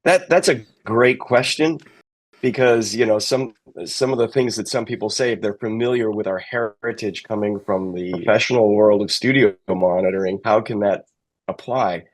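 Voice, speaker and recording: A man's voice: lowish voice, moderate pace, poor audio. A middle-aged man, giving conversational interview answers as a technical sales manager.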